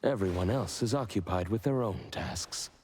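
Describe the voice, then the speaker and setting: An actor playing a composed, formal agency superior in a video game, speaking in a measured, businesslike and polite manner. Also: male voice, on the low side, darkish tone, long reverb tail, slight background noise, lightly acted.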